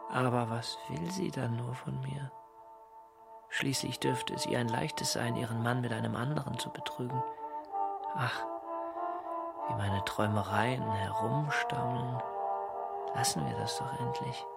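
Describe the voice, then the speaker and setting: An actor playing a brooding middle-aged writer, lovestruck yet self-doubting, in an introspective radio drama monologue. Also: male voice, mid-range voice, unhurried, gravelly, long pauses. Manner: introspective, musing interior monologue. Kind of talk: literary radio drama acting. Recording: loud music under the voice. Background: music.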